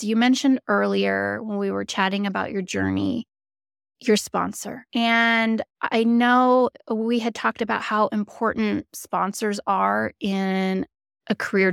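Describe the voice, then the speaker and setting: Woman podcast host, smooth resonant voice, dry close sound, enthusiastic. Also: medium pitch, slow pace, fairly bright tone, no room sound. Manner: affirming, enthusiastic interviewer. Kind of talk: interview conversation.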